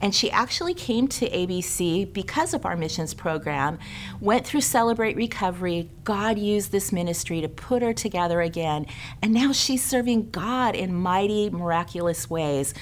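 A woman's voice: medium pitch, animated, normal speed, slight background noise. A middle-aged woman, a care ministry director, giving church announcements in an earnest, inviting way.

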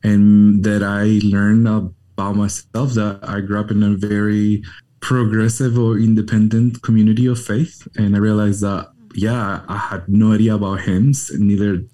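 A man in his thirties speaks English with a Chilean Spanish accent. His voice is mellow and a bit low, and he talks in a reflective, unhurried way, stopping often with ums as he shares his story. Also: level tone. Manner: reflective, measured, with frequent ums. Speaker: hospital chaplain, guest. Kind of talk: conversational interview.

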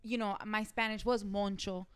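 Smooth-voiced woman explaining earnestly. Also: medium pitch, level tone, normal speed. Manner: earnest, explanatory, uses lots of "like". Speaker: panelist. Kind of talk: casual panel chat.

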